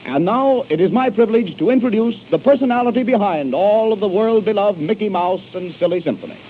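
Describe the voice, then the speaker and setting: A man's voice: sits high, fairly quick. A man working as a radio announcer, reading advertising and introductions in a polished, declamatory 1930s style. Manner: polished, declamatory sales delivery. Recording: narrow-band hissy 1930s radio broadcast.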